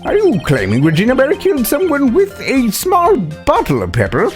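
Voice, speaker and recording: A flustered, incredulous figure from a courtroom game, acted out by a man. The voice jumps high and swings widely as it questions. Light music sits underneath. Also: wildly swooping pitch, normal speed, full character voice. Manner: startled, questioning. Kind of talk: amateur voicing of courtroom video game text.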